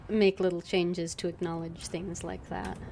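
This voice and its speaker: A woman's voice: lowish voice, little pitch movement, unhurried, a little mellow. A woman streaming a game, giving casual live commentary. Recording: clearly noisy with game ambience.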